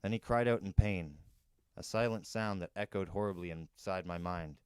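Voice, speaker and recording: A man's voice: low-pitched, normal speed, clean tone, no echo, clean studio sound. A man reading a novel aloud as a first-person storyteller. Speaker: narrator, podcast storyteller.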